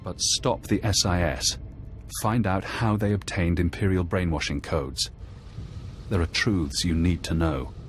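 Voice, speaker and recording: An actor playing a cold, urgent mentor in a video game, giving clipped commands. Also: male voice, sits low, unhurried, faint music behind. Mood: clipped, intent. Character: cold, urgent male mentor reprogramming an agent's mind.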